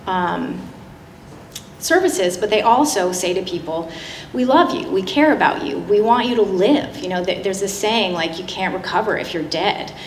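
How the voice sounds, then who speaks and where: A woman giving a public slide presentation in a conversational, halting way with frequent asides. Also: mid-range voice, expressive. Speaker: guest speaker giving a public talk. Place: indoor public talk venue. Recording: roomy, echoing hall with noticeable noise.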